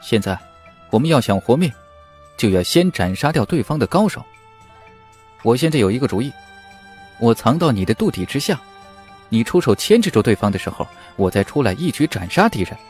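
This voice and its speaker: A man voicing a quick-witted young martial hero who talks in a lively, urgent, bossy way. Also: medium pitch, soft background music, close to an everyday voice.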